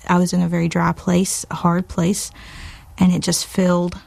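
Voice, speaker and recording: A married woman reflects casually on her experience, her low voice a little gravelly. The recording is dry and close. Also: completely flat delivery, moderate pace, a little mellow, no echo. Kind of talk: personal testimony.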